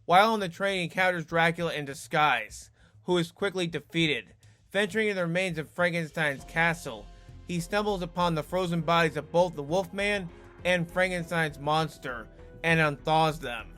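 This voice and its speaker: A man's voice: a little high, normal speed, bright, forceful tone, soft background music. A man hosting a scripted video essay, narrating with wry asides to the viewer. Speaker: host.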